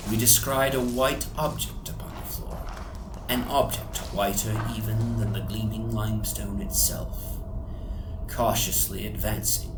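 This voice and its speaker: A man narrates a horror tale in a theatrical, suspenseful manner. He takes his time with each phrase, often sinking into breathy whispers. His voice rings in a reverberant space, with music underneath. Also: on the low side. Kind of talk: dramatic horror story reading. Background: music soundscape.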